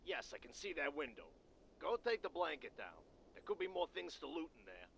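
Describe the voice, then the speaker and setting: A man voicing a bossy, impatient cartoon animal schemer in a game, pushy and sarcastic, with a gravelly voice. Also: fairly high pitch, sing-song, a little fast, a bit bright, slight background noise, heavily acted.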